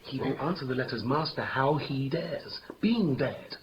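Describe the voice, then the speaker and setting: An actor playing a calm, sensible young gentleman in a 1950s radio Shakespeare play, speaking plainly and in a measured way. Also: mid-range voice, slight background noise, lightly acted, poor audio. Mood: sober.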